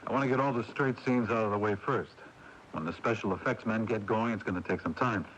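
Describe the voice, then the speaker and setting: Man acting brisk, low-voiced film director. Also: fairly bright tone, muffled recording, close to an everyday voice. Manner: brisk, bossy, exasperated. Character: harried, businesslike male film director. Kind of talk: 1980s radio drama acting. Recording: noisy 1980s radio broadcast taping.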